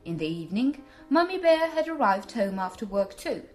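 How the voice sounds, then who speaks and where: A woman storyteller narrating a scripted bedtime tale for children in an expressive manner. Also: medium pitch, moderate pace, soft background music.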